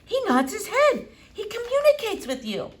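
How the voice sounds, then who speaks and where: A woman voicing an elderly foreign doctor of philosophy from a children's book, gentle and rambling, with formal, quaint phrasing. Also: very high voice, huge pitch swings, normal speed, pitched above the performer's own voice, heavily acted. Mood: curious. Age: older. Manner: formal, quaint syntax, digressive, soothing. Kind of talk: children's book read-aloud.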